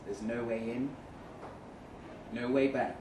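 A man reading a first-person short story aloud dramatically, with measured delivery and deliberate pauses. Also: mid-range voice, steady tone. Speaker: short story reader at a live event. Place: event room in a pub. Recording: roomy, distant mic with faint noise.

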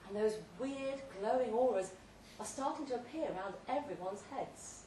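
A woman giving a theatrical, deliberate live reading of a comic short story, with dramatic pauses. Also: fairly high pitch, mellow. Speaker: story reader at a live literary event. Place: pub event room, before an audience. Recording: roomy, distant live recording, faint noise.

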